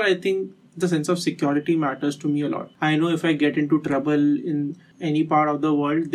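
A young man in a casual discussion who reflects out loud, weighing each point and filling pauses with "like". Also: fairly high pitch. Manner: reflective, thinks aloud with many "like" fillers. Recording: voice a bit distant from the mic.

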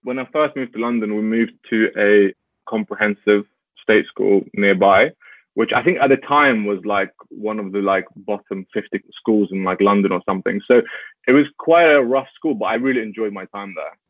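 Relaxed young man on a tinny line. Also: on the low side, moderate pace, no room sound. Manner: relaxed, laughs easily, lots of "like" fillers. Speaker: guest. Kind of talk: casual interview chat. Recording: tinny phone-like call audio, dry.

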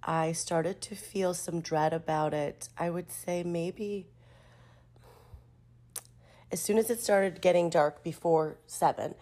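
Stuffy woman in her 30s rambling thru a voice memo, low voice, lots of ums. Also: fairly even intonation, takes it slowly, long pauses, warm tone. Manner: chatty, self-deprecating, many fillers. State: stuffy from a cold. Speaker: contributor sending a voice memo. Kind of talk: casual voice memo.

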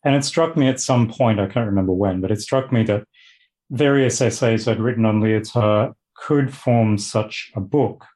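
Australian man, low and measured. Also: a little mellow. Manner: thoughtful, measured, with fillers. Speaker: guest, philosophy lecturer. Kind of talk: casual interview.

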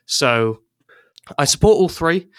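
A man's voice: on the high side, lively intonation, slow pace, smooth, no room sound, clean studio sound. An English-born man chatting casually as a podcast guest, rambling a little, restarting sentences and laughing often. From England. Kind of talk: casual chat.

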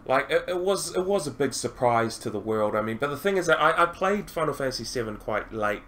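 A man's voice: mid-range voice, fairly quick. A man hosting a podcast interview, talking casually with lots of ums and restarts. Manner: hesitant, many ums and restarts, animated when asking questions. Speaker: podcast host.

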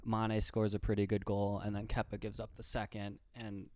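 A man's voice: fairly low pitch, completely flat delivery, normal speed, darkish tone, no echo. Confident man with a dry, close voice. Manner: confident, explains at length with fillers like "right" and "like". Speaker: podcast co-host. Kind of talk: casual sports chat.

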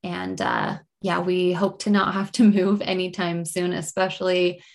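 A woman's voice: fairly low pitch, measured pace, gravelly. Casting: thirtyish woman from Utah, guest coach, upbeat and easygoing, talking over a video call. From Utah, USA. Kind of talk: casual interview answers.

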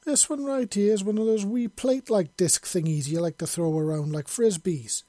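Man doing a Scottish accent, old soldier, profane, blustering, high-pitched, dry recording. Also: normal speed, warm tone, no room sound, close to an everyday voice. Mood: indignant. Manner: blustering, swearing, defiant. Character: older Scottish male ex-soldier, brash and profane.

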